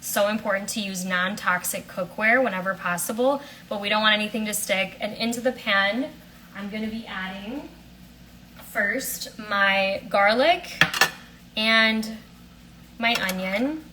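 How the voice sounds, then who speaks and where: A woman's voice: medium pitch, unhurried. A young woman hosting a casual cooking demo at home, chatting in an upbeat, rambling way with lots of fillers. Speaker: cooking and health coach host. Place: home kitchen. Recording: noisy kitchen recording. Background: pans and utensils clattering.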